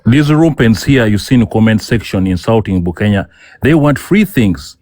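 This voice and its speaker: A Ugandan man with a lowish voice argues hard in a heated online debate. He is insistent, repeats his points and talks over the other speaker. His voice is recorded close and dry. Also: lively intonation, normal speed, a little mellow, dry recording. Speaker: debate guest.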